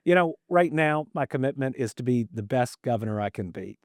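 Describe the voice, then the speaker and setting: A man's voice: medium pitch, expressive, brisk, no echo. Earnest middle-aged man, dry close mic. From Kentucky. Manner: earnest, repeats words when starting thoughts. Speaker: podcast host and state governor. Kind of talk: casual conversational interview.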